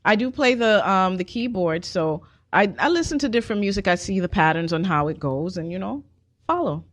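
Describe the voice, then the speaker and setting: A woman's voice: medium pitch, lively intonation, brisk. A woman speaking as a musician guest, giving casual answers in a radio interview. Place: radio studio.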